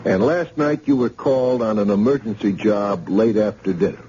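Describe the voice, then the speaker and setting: An actor playing a probing police sergeant. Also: male voice, lowish voice, normal speed, mellow, slightly rough. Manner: probing, insistent questioning. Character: pushy police detective sergeant. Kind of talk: old-time radio drama acting. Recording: dull lo-fi old radio recording.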